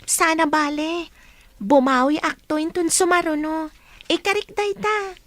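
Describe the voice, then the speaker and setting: Actress as young daughter, high pitch, dry sound, dutiful, audio drama. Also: female voice, steady tone, measured pace, fairly bright tone, dry recording. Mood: apologetic. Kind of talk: Filipino audio drama acting.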